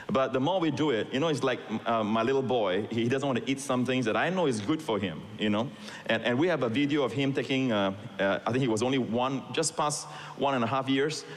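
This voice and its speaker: A middle-aged man from Singapore, raised in Malaysia, preaching a sermon with emphatic asides and calls to the congregation. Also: mid-range voice. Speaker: pastor. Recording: long reverb in a large hall.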